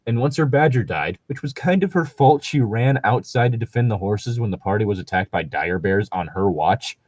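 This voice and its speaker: A man with a fairly low voice talks in a close, dry recording. He rambles along casually and jokily as a co-host, swearing mildly as he goes. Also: expressive, normal speed, darkish tone, dry recording. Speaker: co-host reading and discussing tabletop gaming stories. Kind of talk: casual chat.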